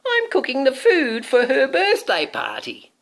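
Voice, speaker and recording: A man voicing a squealing, excitable witch who is bossy and cheery, in a storybook reading. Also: very high voice, a little slow, creaky, voice pushed up, full character voice. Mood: excited, insistent. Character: squealing, cackling old-style witch, bossy but cheery party planner.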